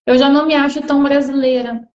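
A woman's voice: sits high, steady tone, quick pace, darkish tone, muffled recording. A woman with a Brazilian accent hosting a casual live stream interview, chatty and full of tag questions. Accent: Brazilian Portuguese.

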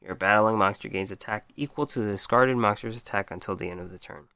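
A man hosting a podcast, reviewing game cards in a casual way with a hoarse, worn voice, reading out stats and then commenting with frequent ums. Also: on the low side, fast talker, sharp tone, dry recording. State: losing his voice. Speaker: podcast host.

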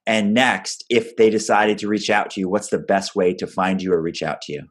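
A man with a deep voice speaks as a podcast co-host. He delivers interview questions and announcements in a measured, orderly way. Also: steady tone, slightly rough.

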